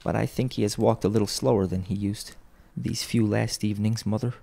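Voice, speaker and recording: Man reading a quiet, subdued young son. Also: fairly low pitch, fairly even intonation, brisk, creaky. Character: quiet, thoughtful young son of poor family.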